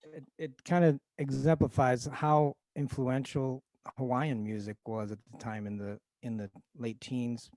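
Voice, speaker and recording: An enthusiastic man, a guest record collector, talking casually and haltingly about old music records. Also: medium pitch, steady tone, dark tone.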